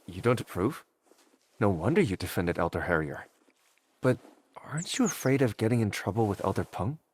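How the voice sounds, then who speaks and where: Curious, teasing companion with swooping intonation. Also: male voice, fairly low pitch, big pitch swings, moderate pace, a little mellow, breathy edge. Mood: probing, teasing. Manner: conversational, probing.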